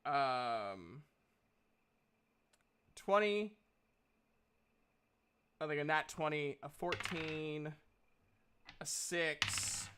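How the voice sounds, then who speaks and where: A man casually narrating a tabletop game as game master, halting and thinking aloud as he goes. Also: on the high side, animated, bright, forceful tone, clean tone.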